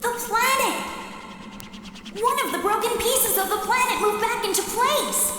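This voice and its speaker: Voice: extremely high pitch, slow, slight background noise, full character voice. An actor voicing a small, cheerful, childlike flying creature in a video game cutscene. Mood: excited, delighted. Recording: echoey reverb with loud game music.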